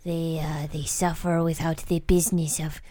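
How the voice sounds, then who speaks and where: Low-voiced woman, deadpan player. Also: moderate pace, a little hiss or hum. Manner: deadpan, refers to self in third person.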